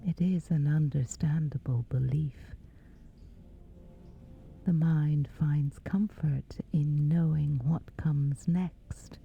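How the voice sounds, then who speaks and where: A woman guiding a sleep meditation in a hushed, breathy near-whisper, pausing between short phrases. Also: deep voice, steady tone, narrow, tinny audio. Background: background music.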